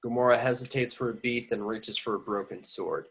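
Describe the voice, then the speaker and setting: A man's voice: mid-range voice, a little fast, fairly bright tone, breathy edge, low-quality recording. A man reading scripted action descriptions as narrator at an amateur table read. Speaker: reader of stage directions.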